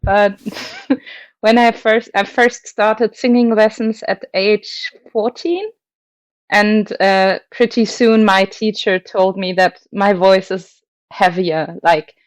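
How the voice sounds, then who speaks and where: A woman's voice: medium pitch, fairly even intonation, takes it slowly, dry recording. Dry-voiced woman, hesitant opera guest. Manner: thoughtful, hesitant, many fillers and restarts, laughs often. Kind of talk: casual interview chat.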